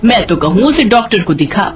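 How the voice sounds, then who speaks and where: A woman's voice: mid-range voice, sing-song, a little fast, natural voice. Woman acting a prying older village gossip. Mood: taunting. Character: boastful, prying older neighbour woman, a new grandmother. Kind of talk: Hindi moral story acting. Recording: music behind the voice.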